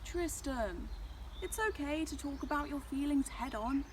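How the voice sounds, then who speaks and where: Woman reader as storybook sun: wise, gentle, reassuring mentor. Noisy recording. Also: high voice, animated, unhurried, pitched above the performer's own voice. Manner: gentle, advising.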